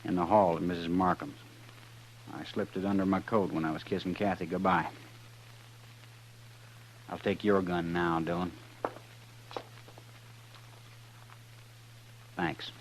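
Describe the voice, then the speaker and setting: A low-voiced, cagey western outlaw. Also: male voice, fairly even intonation, normal speed, pauses often. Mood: coolly menacing. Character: cool, cagey male outlaw prisoner in a western. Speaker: actor in a radio western. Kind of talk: 1950s radio drama acting. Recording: humming, noisy 1950s radio recording.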